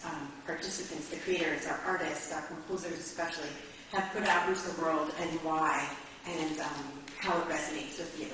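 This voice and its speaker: Echoey, distant woman welcoming a concert audience. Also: on the low side. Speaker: artistic director and host of a concert series. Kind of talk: informal welcome speech to a live concert audience. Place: indoor concert venue with live audience. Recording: very echoey hall, distant mic, faint noise.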